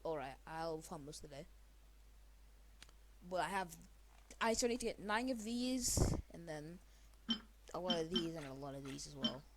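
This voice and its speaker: A woman's voice: deep voice, lively intonation, normal speed, pauses often, slight background noise. A young woman giving casual gameplay commentary, slangy and rambling as she thinks aloud. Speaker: gaming video creator. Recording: loud game music behind voice.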